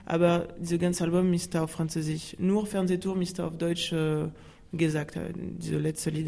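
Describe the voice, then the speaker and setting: Gravelly French woman, halting German. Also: lowish voice, mellow. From France. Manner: halting German with many fillers and restarts. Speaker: singer-songwriter guest. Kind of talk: radio interview chat.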